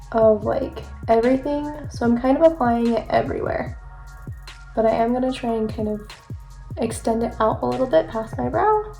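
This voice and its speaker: Young woman beauty vlogger, rambling and chatty, slightly stuffy nose, fry in a higher voice, soft music under. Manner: chatty, rambling, self-correcting. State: congested from allergies. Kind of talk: chatty makeup tutorial.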